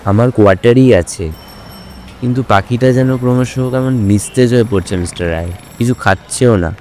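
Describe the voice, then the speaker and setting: A man's voice: mid-range voice, moderate pace, darkish tone, slight background noise, natural voice. A man acting an earnest police officer who reports and asks questions in an audio drama.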